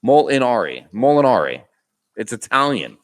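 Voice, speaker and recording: A man's voice: mid-range voice, sing-song, moderate pace. A young man with a Boston accent chatting casually on a live stream, reading comments aloud, laughing often and restarting his sentences. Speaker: vlogger hosting a live Q&A.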